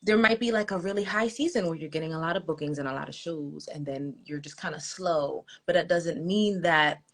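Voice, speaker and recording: A young American woman, a soca artist guest, chatting casually with many ums and quick laughs. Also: sits low. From United States. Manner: chatty, laughs easily, thinks aloud with ums. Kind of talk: casual interview chat.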